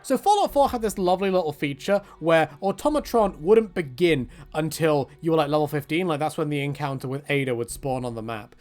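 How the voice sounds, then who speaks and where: A man's voice: a little high, a little fast, hardly any pauses, a little mellow. A man giving casual, opinionated video game commentary in a rambling, joking, self-deprecating and slangy way. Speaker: gaming video creator.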